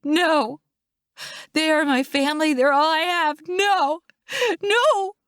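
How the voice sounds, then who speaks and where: A woman's voice: high voice, takes it slowly, a bit bright, no room sound, studio quality, pitched above the performer's own voice. A woman voicing a desperate, grieving woman in labor, pleading and crying out in a dramatic audiobook. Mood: grief-stricken. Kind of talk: dramatic audiobook character acting.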